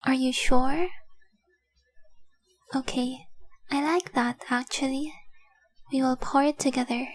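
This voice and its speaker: A woman's voice: sits high, steady tone. A woman playing a devoted, tenderly possessive young dragon girl in a romantic audio roleplay, speaking earnestly and intimately, sometimes dropping toward a whisper. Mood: gentle, fond. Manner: earnest, intimate, deliberate, with breathy whispered phrases.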